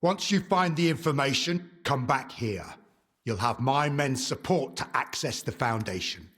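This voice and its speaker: Game role: no-nonsense male commander, husky mid-pitched voice, matter-of-fact authority. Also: lively intonation, unhurried, a bit bright. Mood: businesslike. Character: businesslike male leader of armed stalker men. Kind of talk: video game dramatic acting.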